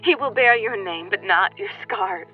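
A woman playing a tender, quirky, grieving pregnant young widow in a radio play, speaking intimately and haltingly. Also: medium pitch, slightly rough, natural voice. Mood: tender grief. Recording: slightly dull recording with music behind.

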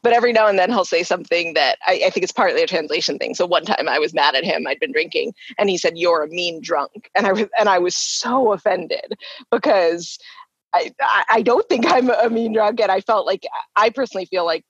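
A woman frankly telling a personal story in casual chat, earnest and quick to laugh. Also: fairly low pitch, husky, dry recording, lo-fi sound.

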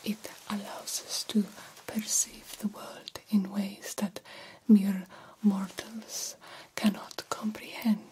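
A woman playing a soothing, formal female vampire doctor in a breathy ASMR whisper, speaking with deliberate, old-fashioned phrasing. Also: mid-range voice, flat delivery, warm tone, lightly acted. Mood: proud, explaining. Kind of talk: ASMR roleplay.

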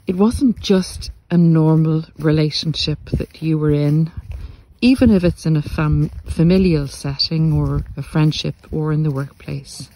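A man giving a reflective, earnest monologue to listeners, speaking deliberately in short phrases with pauses. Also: on the high side, mellow, poor audio. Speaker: podcast host and narcissistic abuse coach. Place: outdoors in the countryside, walking. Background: country sounds.

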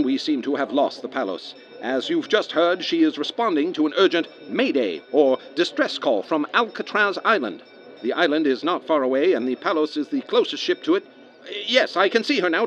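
An excitable radio newsman in a radio play, his voice fairly high and swinging widely in pitch. He reports live with formal, dramatic urgency, as if addressing listeners at home. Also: highs cut off. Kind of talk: live radio news commentary, old radio drama acting.

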